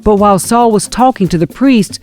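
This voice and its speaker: A woman's voice: mid-range voice, brisk, a little mellow, soft background music. A woman reading scripture as a scripted audiobook narrator, in a storytelling manner. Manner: storytelling, dramatizes quoted speech.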